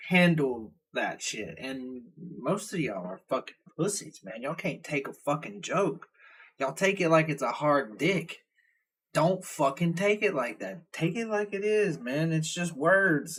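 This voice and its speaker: A man's voice: a little high, a bit bright. A young man with a Southern US accent, hosting a reaction video and ranting in a blunt, rambling, profane way. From Southern United States. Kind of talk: casual ranting commentary.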